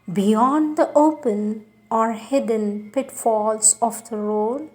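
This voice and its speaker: A woman reading spiritual texts aloud in a solemn, measured, deliberate devotional manner. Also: fairly high pitch.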